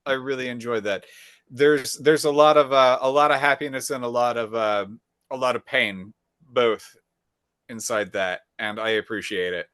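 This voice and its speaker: A man with a mid-pitched voice talks in a loose, easygoing way, often repeating himself as he finds his words. The recording is dry and close. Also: normal speed, smooth, no room sound. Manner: relaxed, with fillers and trailing repeats. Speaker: podcast co-host. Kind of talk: casual chat.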